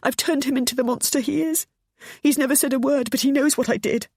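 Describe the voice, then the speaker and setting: A woman voicing a frightened, tearful middle-aged mother who speaks in a high, breaking voice. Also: moderate pace, a little mellow, breathy edge, no echo, clean studio sound. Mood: distraught.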